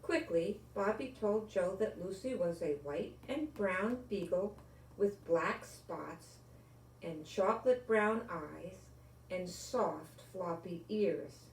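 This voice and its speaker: Slow older woman in an echoey room. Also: mid-range voice, a little hiss or hum. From Rhode Island, United States. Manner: deliberate, expressive, speaking as to small children. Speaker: storyteller reading to children. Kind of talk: children's storybook read-aloud and friendly address to young viewers. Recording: echoey room, distant microphone.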